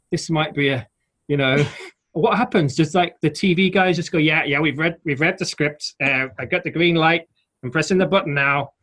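A man's voice: a little high, fairly bright tone. A man in his forties from Yorkshire with a Yorkshire accent, chatting as a guest in a rambling, self-deprecating way with wry asides. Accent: Yorkshire English. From Yorkshire, England. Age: 40s. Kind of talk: casual interview chat.

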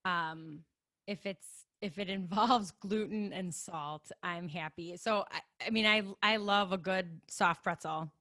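A woman's voice: fairly low pitch, takes it slowly, pushed and bright, dry recording, clean studio sound. A middle-aged woman from the Chicago area with a Chicago accent, chatting as an interview guest and laughing easily at herself. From Chicago area, USA. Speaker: guest, makeup artist. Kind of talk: casual conversational interview.

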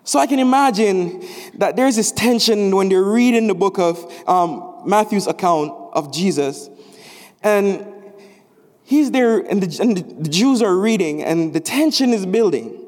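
A young man from Jamaica delivers a chapel sermon in a somewhat high voice. His phrasing is animated and rises on emphatic words. The sound carries a long reverb from the hall. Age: young adult. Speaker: student preacher. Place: large chapel.

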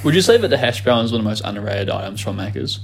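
A man's voice: on the low side, big pitch swings, very fast, creaky, slightly rough. A young man from New Zealand with a New Zealand accent, chatting casually as a podcast co-host, rambling, swearing freely and laughing often. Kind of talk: casual chat.

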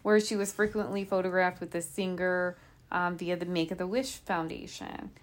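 A woman's voice: on the low side, fairly even intonation, normal speed. A woman hosting a podcast, telling a true crime case in an informal, conversational way with asides and rhetorical questions. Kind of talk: conversational storytelling from notes.

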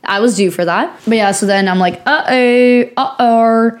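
Young woman co-host, raspy and sniffly from a lingering cold, chatty, rambling delivery full of "like.". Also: a little high, normal speed. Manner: rambling storytelling with many fillers. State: hoarse, recovering from lost voice; sniffly from sinus infection. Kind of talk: casual chat.

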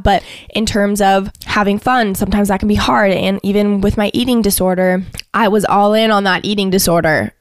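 A woman's voice: medium pitch, no room sound, clean studio sound. A woman in her late twenties talking casually and candidly on her solo podcast, full of "like" fillers. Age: late 20s. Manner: chatty, frequent "like" fillers, candid and intense. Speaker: podcast host.

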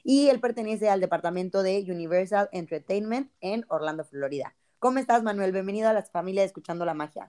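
Una mujer con acento mexicano, coanfitriona de un podcast, que presenta al invitado de forma ágil y acogedora. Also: female voice, medium pitch, expressive, quick pace, few pauses, dark tone. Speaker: podcast co-host. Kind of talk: upbeat show introduction.

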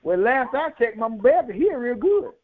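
High-voiced preacher on tinny radio. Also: male voice, big pitch swings, normal speed. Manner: emphatic, rhythmic preaching with rising repetition. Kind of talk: sermon. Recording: tinny phone-like radio broadcast.

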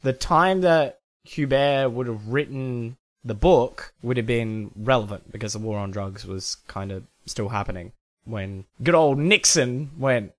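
A man's voice: mid-range voice, unhurried. A man hosting a podcast while sick, with a blocked, nasal voice, chatting casually and playfully with a faint fuzz under his track. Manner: chatty, playful, laughs at himself. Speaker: podcast host.

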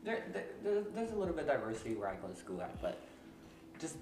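A young man from Philadelphia hosting a casual chat with a friend, chatty and quick to laugh, loose with slang. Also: medium pitch. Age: young adult. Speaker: podcast host. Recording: echoey room, mic a bit far, steady background noise.

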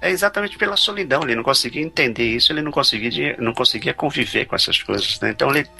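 A man's voice: mid-range voice, animated, very fast, creaky, bright, forceful tone, faint music behind. A man talking as the guest film critic on a podcast, thinking aloud in long runs with jokey asides. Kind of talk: casual podcast discussion.

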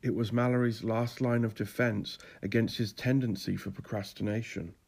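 Male narrator for literary audio fiction, lower register, gravelly creak, scripted delivery. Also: steady tone, moderate pace, a little mellow.

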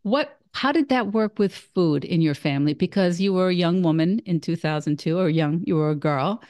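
A woman's voice: fairly low pitch, moderate pace, darkish tone. A woman hosting an interview podcast who often restarts her sentences and praises her guest freely. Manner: hesitant, with frequent restarts and effusive praise. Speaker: podcast host.

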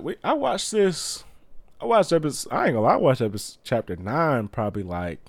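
A man hosting a casual solo podcast, stuffy from a cold, rambling excitedly with slang and many restarts. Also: mid-range voice, dry recording. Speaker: podcast host.

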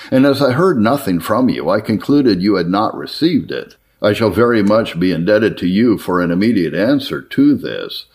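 A man reading an old formal letter aloud as a narrator, in an earnest, measured way. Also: low-pitched, a little mellow. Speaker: narrator reading historical religious writings.

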